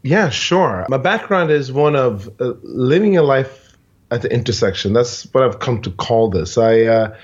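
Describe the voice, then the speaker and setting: A man from Sweden talks through his background as a podcast guest, thinking aloud with frequent restarts. His mid-pitched voice comes through slightly dull and muffled. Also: lively intonation, unhurried. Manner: thoughtful, many fillers and restarts. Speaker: guest, innovation consultant. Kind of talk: conversational interview answer.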